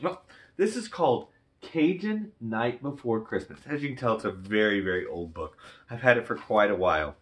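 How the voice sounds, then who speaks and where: Bright-voiced man chatting between story pages. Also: medium pitch, expressive, normal speed. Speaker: storybook reader. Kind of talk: casual introduction and asides for a read-aloud.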